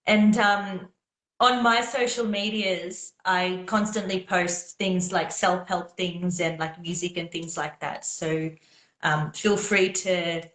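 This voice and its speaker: A woman's voice: lowish voice, fairly even intonation, takes it slowly, fairly bright tone, reverberant, low-quality recording. A woman whose first language is Gujarati, chatting as a guest on a live video call, talking in an earnest, encouraging way and laughing often. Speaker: guest singer. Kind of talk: casual live video chat.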